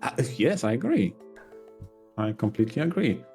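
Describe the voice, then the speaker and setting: A man's voice: medium pitch, sing-song, moderate pace, creaky, darkish tone, soft background music. A man speaking conversationally as a podcast co-host. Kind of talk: casual podcast discussion.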